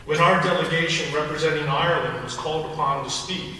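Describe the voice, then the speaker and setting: Man, first language Hungarian, bright voice, measured earnest reading, distant mic in a big reverberant hall. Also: fairly high pitch, fairly even intonation, slight background noise. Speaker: alumnus and college trustee speaking at a memorial. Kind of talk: prepared memorial tribute and poem reading. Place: large hall at a memorial service. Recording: distant echoey hall recording.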